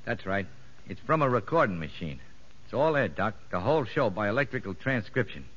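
An actor playing a shrewd, streetwise convict ringleader in a 1950s radio drama, sly and slangy. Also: male voice, fairly low pitch, very animated, a little fast, fairly bright tone. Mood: sly, confiding. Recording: echoey, dull vintage radio recording.

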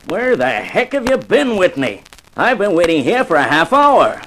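An animated, fretful old boss. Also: male voice, high-pitched, normal speed, exaggerated voice. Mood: impatient, fretful. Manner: gruff, exasperated. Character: impatient, fretful older father and company boss. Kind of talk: 1950s radio drama acting.

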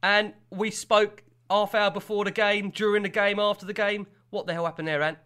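A man hosting a football podcast in a casual, welcoming way. His voice sits high and sounds bright and forward. He sounds a little exasperated. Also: flat delivery, moderate pace, clean tone. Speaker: podcast host.

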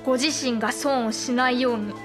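A woman's voice: on the high side, fairly even intonation, a little fast, music in the background, close to an everyday voice. A woman playing an anguished, principled noblewoman in a Japanese period voice drama, speaking formally and earnestly. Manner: formal, polite, earnest pleading. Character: anguished, principled samurai noblewoman, warlord's younger sister.

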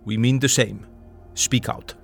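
A man playing a grave older male professor who speaks formal, halting broken English, urgent and earnest, sometimes dropping to a hush. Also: medium pitch, fairly even intonation, vocal fry. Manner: urgent, earnest, drops to hushed tones. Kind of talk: audio drama acting. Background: music.

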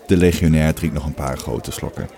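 A man narrating a scripted story in the present tense, in a measured, matter-of-fact way. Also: a bass voice, fairly even intonation, vocal fry, background noise.

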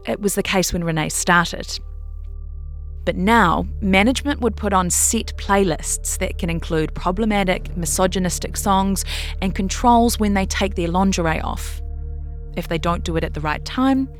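A woman's voice: mid-range voice, unhurried. New woman narrating over music. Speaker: narrator reading a long-form investigative article. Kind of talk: scripted narration.

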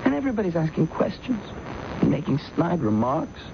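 A man's voice: on the high side, very animated, gravelly. Noisy old radio, anxious pleading man. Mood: weary, pleading. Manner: nervous, halting, pleading. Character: anxious, defensive male office worker protesting his innocence. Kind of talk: radio drama acting. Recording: dull, noisy vintage radio recording.

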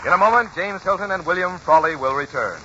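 A man's voice: sits high, fast talker, highs cut off. A man reading a scripted 1940s radio advertisement as sponsor announcer, with polished salesmanship. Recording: noisy, band-limited 1940s radio recording.

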